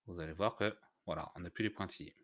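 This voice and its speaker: Deep-voiced man giving a tutorial. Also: fast, no room sound, studio quality. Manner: hesitant, step-by-step explaining. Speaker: tutorial host. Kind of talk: unscripted software screencast tutorial narration.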